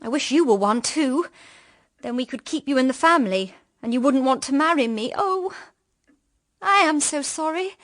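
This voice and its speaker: A woman's voice: sits high, animated, moderate pace, no echo, studio quality. An actress with a British accent playing a tender-hearted, easily upset young woman in a period radio drama, girlish and hesitant. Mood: apologetic. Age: young adult.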